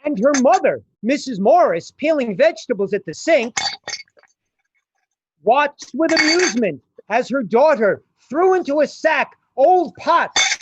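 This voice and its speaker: A woman giving a theatrical, deliberate scripted narration for a suspense radio drama. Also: high-pitched, very animated, noisy background. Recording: muffled, music behind. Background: music.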